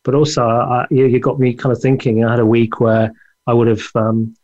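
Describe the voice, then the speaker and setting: A man from England hosting an interview show on a video call, speaking in a halting way with many restarts. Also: medium pitch, completely flat delivery, a little mellow, highs cut off. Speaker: podcast host. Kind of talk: casual interview chat.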